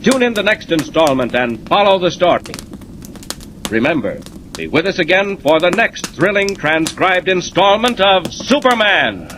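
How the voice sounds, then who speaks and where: A noisy 1940s radio recording of an American man announcing a serial. His fairly high voice swings widely, delivering scripted narration with declamatory drama. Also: very animated, moderate pace.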